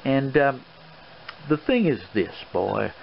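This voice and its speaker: A muffled, noisy home-style recording carries a man's portrayal of an elderly colonel with a mid-pitched male voice. He is a courtly, boastful old gambler who rambles in a folksy, fatherly way, pausing and restarting through an ASMR role-play monologue. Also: sing-song, exaggerated voice. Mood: stern, boastful. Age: older. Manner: hesitant, rambling, folksy, paternal. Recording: dull lo-fi sound with clear background noise.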